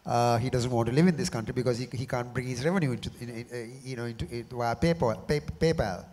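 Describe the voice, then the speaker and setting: A middle-aged man, slightly hoarse from a cough, speaking measuredly on a panel and often repeating words as he restarts. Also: medium pitch, fairly even intonation, quick pace, darkish tone. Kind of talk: panel discussion. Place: large conference hall. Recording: reverberant conference hall.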